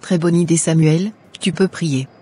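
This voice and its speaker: A woman's voice: low voice, steady tone, normal speed, warm tone, slightly rough. A woman playing a studious, devout schoolgirl in a cartoon, with music behind her. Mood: calm, assured. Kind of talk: scripted cartoon dialogue.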